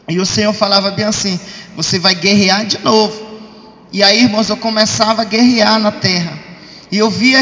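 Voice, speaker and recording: A man's voice: high-pitched, fairly bright tone. A man with a Brazilian accent giving an emphatic religious testimony to a congregation, repeatedly calling out to them as brothers. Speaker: church member giving testimony. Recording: dull, muffled sound in reverberant hall.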